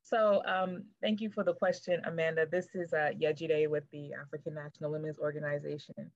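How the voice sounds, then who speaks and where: A woman's voice: sits low, level tone, brisk, darkish tone, no echo, dull audio. A woman guest on a panel, chatting lightly. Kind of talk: casual panel talk.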